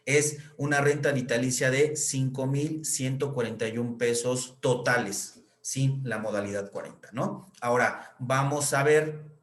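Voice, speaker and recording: A man's voice: mid-range voice, measured pace, hardly any pauses. Mexican man explaining in an echoey room. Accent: Mexican Spanish. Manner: explanatory, many fillers and tag questions. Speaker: accountant explaining pension reform. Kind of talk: explanatory lecture.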